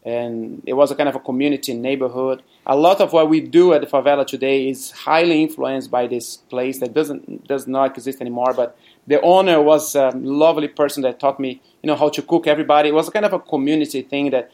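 A man from Brazil with a Brazilian Portuguese accent, telling his story as a guest in a casual interview, chatty and quick to laugh. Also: medium pitch, fairly even intonation, a little mellow. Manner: chatty, laughs often, many fillers. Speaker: guest, cafe owner.

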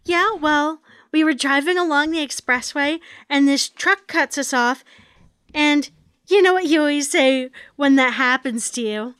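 A woman acts out a sitcom wife and mother at a table read. Her voice is high and animated with a sarcastic edge, recorded dry. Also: a little slow, no echo. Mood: teasing.

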